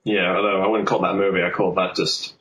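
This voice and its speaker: A man's voice: deep voice, flat delivery, quick pace, fairly bright tone. A man in casual, rambling, chatty discussion, as co-host of a film podcast. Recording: roomy, echoey sound with faint noise.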